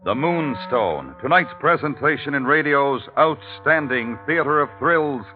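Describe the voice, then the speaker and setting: A man's voice: mid-range voice, animated, unhurried. A man announcing a program in 1950s radio style. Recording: tinny old radio recording over music.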